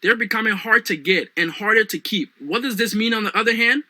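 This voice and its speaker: A young man with a Liberian accent giving an energetic motivational sales talk as a business coach, often calling out to "guys". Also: fairly quick, dry recording, studio quality. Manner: pitchy, repeats "guys" and "right now".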